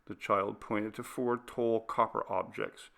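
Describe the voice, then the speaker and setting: Slow, gravelly male storyteller. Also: on the low side, steady tone, fairly bright tone. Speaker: audiobook narrator. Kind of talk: scripted literary narration.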